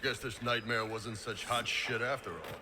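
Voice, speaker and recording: An actor playing a blunt fantasy adventurer in a video game, with a sarcastic, casual way of talking. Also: male voice, mid-range voice, moderate pace, noisy background, natural voice. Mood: wry.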